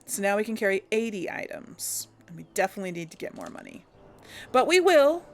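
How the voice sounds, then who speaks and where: A woman with a creaky voice giving casual, easygoing game commentary, musing to herself as she plays. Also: mid-range voice, sing-song, a little fast, soft background music. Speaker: let's play host. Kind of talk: casual game commentary with light role play. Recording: faint background game ambience.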